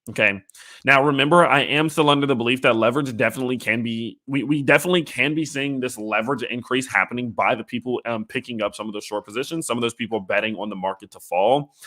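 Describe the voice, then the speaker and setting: A young man with a slightly raspy, hoarse voice, hosting a casual livestream of market commentary and often checking in with "okay?". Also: medium pitch, sharp tone. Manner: conversational, hedging, often ends with "okay?". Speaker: crypto channel host.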